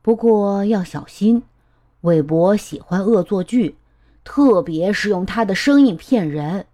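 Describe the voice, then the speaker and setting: A woman voicing a friendly, patient, playful storybook elephant with an expressive, teasing manner. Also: mid-range voice, a little slow, natural voice. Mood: amused, explaining.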